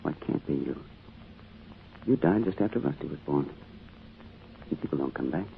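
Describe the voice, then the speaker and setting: An actor playing a grieving, withdrawn middle-aged father in a radio drama, speaking in a weary, halting way. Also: extremely low pitch, lively intonation, vocal fry, heavily acted. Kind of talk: old-time radio drama acting. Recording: muffled, noisy old radio recording.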